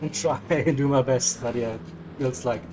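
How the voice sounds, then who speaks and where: A man commentating a live sim race, hoarse and cracking, upbeat and laughing a lot. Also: medium pitch, moderate pace, background noise. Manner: upbeat, laughs often, self-mocking.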